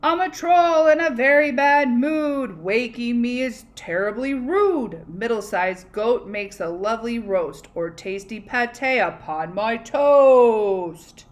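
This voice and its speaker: Woman acting out a loud, grumpy storybook troll. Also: a little high, animated. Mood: angry, menacing. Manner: loud, menacing, drawn-out rhyming threats. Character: grumpy, sleep-deprived troll threatening goats in rhyme.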